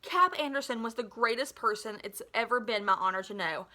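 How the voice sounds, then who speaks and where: A scheming schoolboy from a storybook played by a woman reader proclaims his put-on grief melodramatically, in a high, bright voice. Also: brisk. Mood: earnest.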